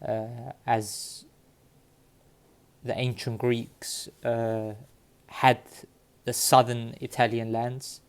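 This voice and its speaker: A man from Cyprus talking casually to his viewers, halting often with fillers and restarts. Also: medium pitch. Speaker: vlogger sharing DNA results. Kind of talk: casual talk to camera. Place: London.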